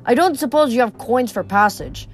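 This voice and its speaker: A woman's voice: a little high, expressive, moderate pace. A woman reading an audiobook voices a dry, greedy old male ferryman of the dead, with faint music behind. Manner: dry, sardonic, haggling.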